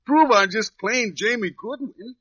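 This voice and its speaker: An actor playing a wrongly jailed young drifter in a radio drama, with plain folksy, uneducated speech, pleading and resigned. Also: male voice, sits high, a little slow, fairly bright tone, slightly rough, no room sound, soft background music, heavily acted. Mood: earnest. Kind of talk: 1950s radio drama acting. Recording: dull lo-fi old radio recording.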